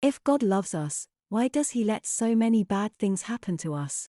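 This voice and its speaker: Woman acting a mellow-voiced, skeptical wife. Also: medium pitch, big pitch swings, moderate pace, dry recording, clean studio sound, lightly acted. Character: skeptical, disillusioned young English wife and mother. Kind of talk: audio drama dialogue.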